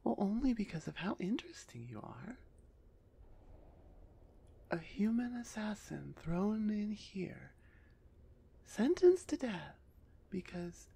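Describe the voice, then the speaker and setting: A woman voicing a big, gruff female minotaur executioner with grudging, teasing menace in an ASMR roleplay. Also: on the low side, very animated, takes it slowly, pauses often, warm tone, a little hiss or hum, exaggerated voice. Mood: suspicious, relenting.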